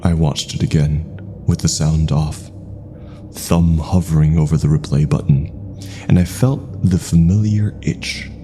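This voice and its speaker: A man with a gravelly voice reading a scripted first-person horror story in a measured, brooding manner. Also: a bass voice, fairly even intonation, dark tone, a little hiss or hum.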